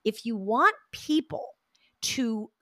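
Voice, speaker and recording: Expressive middle-aged woman, dry close sound. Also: on the high side, slow, no room sound. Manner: expressive storyteller, animated asides. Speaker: guest, communication coach. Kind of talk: casual interview chat.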